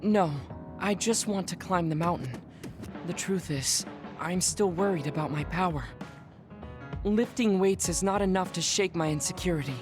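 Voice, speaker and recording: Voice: medium pitch, lightly acted. A performer in an English game dub voicing a psychic decades old in a child's body, speaking formally and precisely, proud but insecure, over music. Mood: earnest, determined. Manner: formal, precise, measured speech. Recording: music behind the voice.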